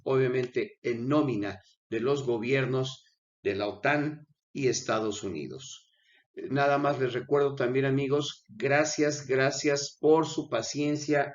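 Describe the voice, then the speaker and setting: An unwell man hosts his channel, talking viewers through a video in a casual commentary voice. He earnestly pleads with his audience at mid pitch. The sound is dull and lo-fi. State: unwell, with coughing fits. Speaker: channel host. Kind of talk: conversational commentary over a video.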